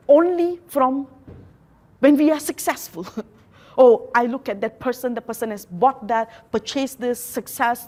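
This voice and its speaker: Slow, earnest high-voiced Malaysian woman. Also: a little mellow. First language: a Malaysian local language. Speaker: motivational speaker. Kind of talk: motivational talk.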